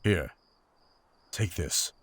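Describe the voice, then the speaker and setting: A man playing a seasoned, honorable monster hunter in a fantasy drama, with formal, restrained, serious speech. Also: dry recording. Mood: stern. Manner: formal, measured, serious wording. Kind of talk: fantasy audio drama acting.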